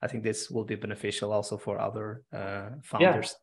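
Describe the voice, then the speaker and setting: A man's voice: lowish voice, level tone, a little fast, creaky. Upbeat male podcast host. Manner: upbeat, loose phrasing with many fillers. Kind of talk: casual interview hosting.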